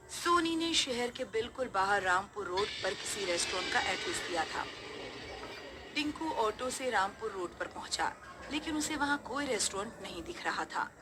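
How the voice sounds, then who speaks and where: A woman reading scripted narration of a horror story as its narrator. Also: mid-range voice. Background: music.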